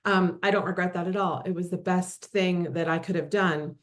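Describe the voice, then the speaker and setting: Casting: fifties woman, friendly interview guest, easy laugh, halting ums. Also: fairly low pitch, steady tone. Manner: friendly, laughs often, many ums and restarts. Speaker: guest, realtor. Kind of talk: casual interview conversation.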